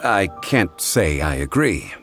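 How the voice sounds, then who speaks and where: An actor playing a calm, learned gentleman in a video game, speaking in a measured, formal and politely certain way. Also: sits low, music in the background, exaggerated voice. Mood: calm, reasoning. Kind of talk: English video game voice acting, measured dialogue.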